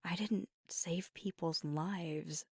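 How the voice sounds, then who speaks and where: Woman acting a hushed, gravelly, weary werewolf girlfriend. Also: lowish voice, fairly even intonation, dry recording, studio quality, exaggerated voice. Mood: self-deprecating, deflecting. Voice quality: breathy, husky. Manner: hushed, halting, confiding. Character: fiery young female werewolf, weary, guarded, tender girlfriend. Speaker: ASMR roleplay performer. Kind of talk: ASMR roleplay, intimate scripted monologue.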